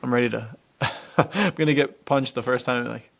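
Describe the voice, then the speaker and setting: A man with an average-pitched voice and heavy vocal fry. He co-hosts a podcast in a loose, excitable way. His talk is informal and full of buddy slang. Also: normal speed. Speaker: podcast co-host. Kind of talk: casual enthusiastic chat about adventure stories.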